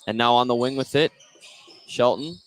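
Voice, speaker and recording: A man doing live play-by-play radio commentary of a high school basketball game. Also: normal speed, faint music behind. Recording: noisy gym ambience behind the voice.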